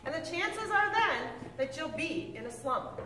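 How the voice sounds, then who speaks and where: A woman reading a picture book aloud with lively, expressive delivery, now and then stumbling and correcting herself. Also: measured pace, slight background noise. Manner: expressive storybook reading with big pitch swings. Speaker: reader. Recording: echoey room, mic at a distance.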